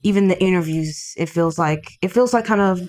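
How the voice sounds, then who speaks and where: A young college woman from D.C. speaks with a somewhat low voice. She is reflective and searches for her words. She often restarts her phrases. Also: fairly quick, darkish tone. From Washington D.C. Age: young adult. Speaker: college student guest. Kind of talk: casual interview.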